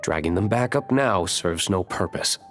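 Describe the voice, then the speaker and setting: A man's voice: low voice, expressive, moderate pace, fairly bright tone, soft background music. A man playing a reserved, stern young tavern owner in an anime video game, dry and serious. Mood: guarded.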